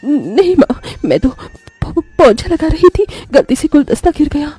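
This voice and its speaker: A woman's voice: high voice, sing-song, a little slow, warm tone, dry recording, soft background music. A woman playing a meek, sorrowful young stepdaughter in a cartoon melodrama, timid and pleading. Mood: frightened. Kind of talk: Hindi animated melodrama acting.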